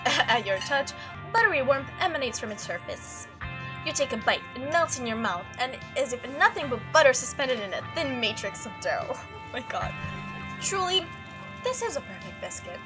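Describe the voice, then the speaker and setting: A woman hosting a gaming video, narrating a visual novel with casual commentary in an expressive way. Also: sits high, normal speed, low-quality recording. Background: game music under the voice.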